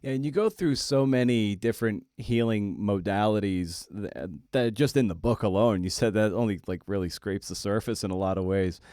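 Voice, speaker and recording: Halting man hosting, dry close sound. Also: fairly low pitch, mellow, dry recording. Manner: halting, rambling, circles toward his question. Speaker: podcast host. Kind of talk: casual interview chat.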